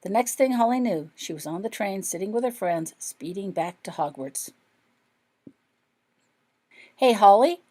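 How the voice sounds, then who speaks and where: A woman's voice: medium pitch, lively intonation, moderate pace, hardly any pauses, dark tone. A woman reading her own fiction aloud as scripted audiobook narration.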